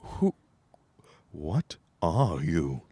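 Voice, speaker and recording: A man playing a nervous, crotchety old miser in a radio play, husky and faltering, with a theatrical manner. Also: low-pitched, huge pitch swings, extremely slow, mellow, no room sound, studio quality, full character voice. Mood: wary.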